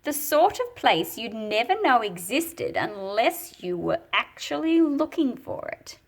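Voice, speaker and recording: A woman hosts a picture book read-aloud, mixing narration with explanation. Her pitch swings expressively while she takes her time over each phrase. The voice has a soft, husky breathiness. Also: sing-song, a little slow. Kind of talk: storybook read-aloud with teaching commentary.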